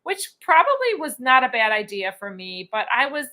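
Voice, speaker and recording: A woman's voice: sits high, huge pitch swings, moderate pace, bright, forceful tone. A middle-aged woman hosting a casual cruise live stream, chatting, laughing often and reading out viewer comments. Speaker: cruise vlog host.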